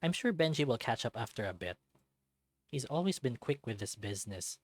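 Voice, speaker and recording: A man's voice: mid-range voice, sing-song, brisk, dry recording. A man reading scripted visual novel narration as the storyteller. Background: music.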